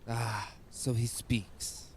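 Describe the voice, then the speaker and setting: An actor playing a patient, dryly teasing guardian with formal old-world diction in an audio drama. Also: male voice, mid-range voice, little pitch movement, extremely slow, a little mellow, slight background noise.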